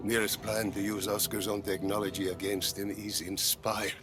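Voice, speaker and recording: An actor playing an older male scientist who speaks with reflective, admiring warmth. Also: fairly low pitch, little pitch movement, moderate pace, over music, close to an everyday voice. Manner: warm praise, reflective.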